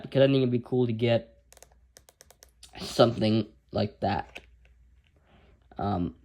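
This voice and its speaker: A man casually reviewing a handheld radio, rambling with lots of fillers and restarts. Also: mid-range voice.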